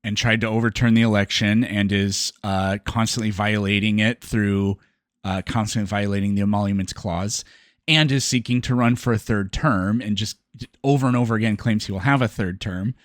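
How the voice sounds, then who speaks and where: A man giving casual, off-the-cuff reaction commentary, halting and often stammering as he corrects himself. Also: lowish voice, steady tone, dry recording, clean studio sound. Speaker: commentator reacting to a debate video.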